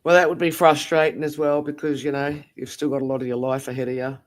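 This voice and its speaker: A man's voice: on the high side, flat delivery, fast. A man chatting casually and reassuringly on a late-night stream, swearing now and then.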